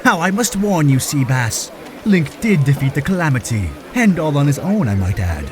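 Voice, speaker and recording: A man's voice: on the high side, sing-song, moderate pace, crowd noise. A man playing a cheerful fish-man prince with grand, theatrical flair in an audio drama. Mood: amused.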